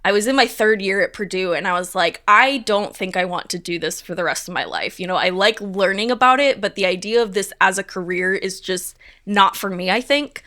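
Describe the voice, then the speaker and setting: Chatty young woman with a bright voice. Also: medium pitch, a little fast. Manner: chatty and upbeat, many fillers. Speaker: stage manager. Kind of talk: casual interview chat.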